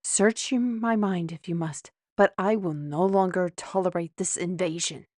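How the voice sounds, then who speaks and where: A woman playing a defiant, sharp-tongued steward in a fantasy audio drama, speaking in a sardonic, outspoken way. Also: on the low side, a little slow, no echo, studio quality. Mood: indignant.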